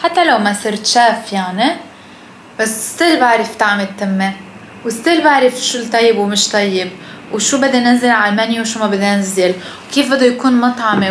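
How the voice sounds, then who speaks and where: Casting: young Lebanese woman vlogger, higher-pitched voice, casual and blunt, switches into English, home recording with noticeable background noise. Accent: Lebanese Arabic. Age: young adult. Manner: mixes English phrases into Arabic; blunt, swears freely. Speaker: food vlogger. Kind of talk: casual chat to camera. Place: indoors at home, kitchen and living room.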